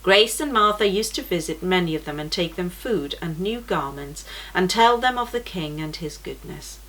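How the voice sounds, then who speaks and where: A woman reading a children's story aloud for a church program, in a gentle, measured storytelling manner. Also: fairly low pitch, animated, poor audio.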